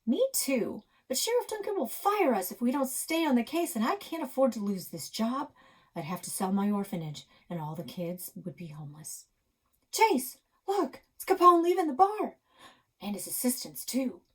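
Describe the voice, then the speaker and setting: A woman's voice: on the high side, sing-song, moderate pace, slightly rough, voice pushed up. A woman voicing an earnest boy playing police detective in a storybook, with melodramatic delivery. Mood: urgent.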